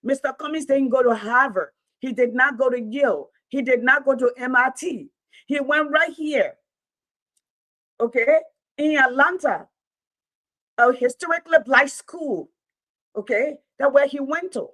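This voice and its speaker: A woman's voice: sits high, unhurried, a bit bright. A woman with a Liberian accent, telling a story in a casual talk show and often checking in with "okay?".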